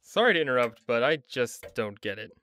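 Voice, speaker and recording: A man's voice: mid-range voice, big pitch swings, a little fast, bright, forceful tone. A man acting as a dry, skeptical husband and father in an audio drama. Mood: suspicious. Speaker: house buyer. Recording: faint background noise.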